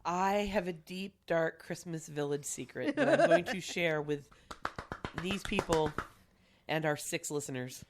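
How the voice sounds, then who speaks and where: A woman's voice: sits low, lively intonation, unhurried, slight background noise. A woman co-hosting a podcast in casual group banter, swearing and joking as she tells stories. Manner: profane, joking storyteller. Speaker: podcast co-host.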